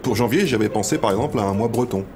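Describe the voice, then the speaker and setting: A man's voice: on the low side, racing, natural voice. A man playing an eager, hesitant colleague full of ideas, with stammering starts, in an audio comedy. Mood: flustered, eager. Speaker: amateur audio drama actor. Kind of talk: French audio comedy acting.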